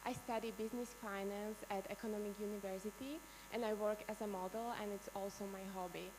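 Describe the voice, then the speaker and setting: A woman in her twenties from Slovakia, quickly running through a rehearsed introduction. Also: mid-range voice, fairly even intonation, big-room sound, background noise. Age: 20s. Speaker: pageant contestant.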